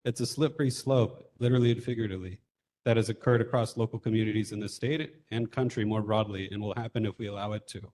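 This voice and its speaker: Low-voiced man reading a formal statement. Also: fairly even intonation, fast, a little mellow. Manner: formal, rousing. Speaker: neighborhood board treasurer. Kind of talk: prepared statement. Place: community meeting room.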